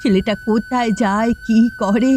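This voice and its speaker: A woman's voice: on the high side, fairly even intonation, moderate pace, a little mellow, soft background music, lightly acted. A woman voicing a quarrelsome, grieving old village mother-in-law in a cartoon. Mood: fretful, sad. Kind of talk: Bengali moral-tale cartoon dubbing.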